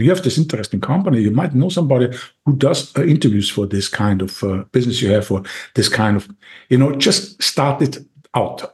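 A man's voice: mid-range voice, darkish tone. A man with an Austrian accent, a podcast guest talking eagerly in a casual interview, with many restarts and fillers.

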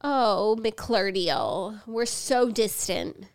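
A woman's voice: medium pitch, expressive, drawn-out words. A young woman co-hosting a podcast while sick, with a nasal voice and a dry, sarcastic manner in casual chat. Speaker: podcast co-host.

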